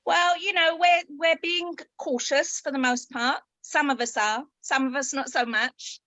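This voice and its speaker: A woman with a fairly high, bright, crisp voice talks in an upbeat, chatty way, often pausing on ums. She is heard close and dry through a video call. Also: fairly even intonation, no echo. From Israel. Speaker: guest, copywriter. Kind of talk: interview answers.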